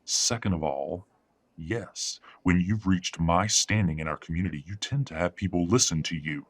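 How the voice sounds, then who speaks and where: Man playing deep-voiced imperious father, husky and coldly polite. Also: moderate pace. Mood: commanding. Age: middle-aged. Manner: formal, condescending, commanding. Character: imperious wealthy werewolf patriarch, coldly polite, controlling father. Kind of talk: scripted audio drama acting.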